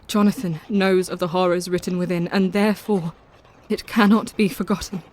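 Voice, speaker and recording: A woman's voice: fairly low pitch, level tone, normal speed, darkish tone, natural voice. Woman playing devoted young victorian wife in a gothic audio drama, tender n earnest, anxious, formal old-fashioned wording. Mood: sorrowful, resolved. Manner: tender, soothing, earnest, formal period phrasing.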